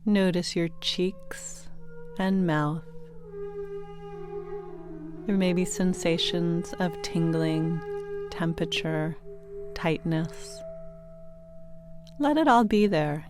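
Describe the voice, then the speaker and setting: A woman narrating a guided sleep meditation in a gentle, soothing manner, giving unhurried instructions with pauses between phrases. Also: lowish voice. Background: meditation music with singing bowl tones.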